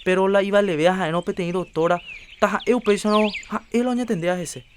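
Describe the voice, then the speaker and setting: A man's voice: sits high, fairly even intonation, fairly quick, slight background noise, close to an everyday voice. Man as earnest Paraguayan health promoter, persuasive and lecturing, radio drama, faint birds outside. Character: earnest adult man urging health checkups. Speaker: Rafael, a community health promoter. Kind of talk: educational radio drama acting.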